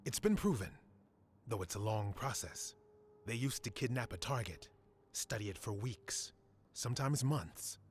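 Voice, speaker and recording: An actor playing a grave scholar in a fantasy game, speaking in a solemn, deliberate, explanatory way. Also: male voice, medium pitch, big pitch swings, slight background noise. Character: grave male scholar briefing adventurers on ancient monsters. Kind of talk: scripted fantasy game cutscene acting.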